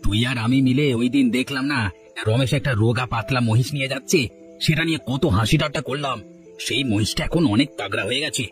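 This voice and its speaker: Fast-talking conspiratorial village sidekick, played by a man. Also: medium pitch, soft background music, close to an everyday voice. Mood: gossipy, indignant. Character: jealous, gossiping village sidekick man, eager for mischief. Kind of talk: cartoon dialogue acting.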